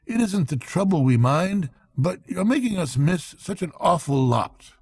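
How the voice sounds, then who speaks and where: A man voicing a hearty, brisk storybook rat who is kindly, blunt and earnest. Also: sits high, very animated, darkish tone, voice pushed up. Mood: hearty, exasperated. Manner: brisk, exclamatory, blunt but fond.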